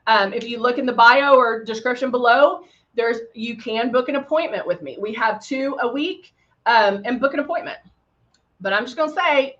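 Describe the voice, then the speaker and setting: Middle-aged Texan woman, chatty live-tutorial host, slightly high voice, dull lo-fi stream audio. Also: pushed and bright. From Texas. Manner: chatty and upbeat, talks while applying makeup, playful sound effects. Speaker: makeup brand host.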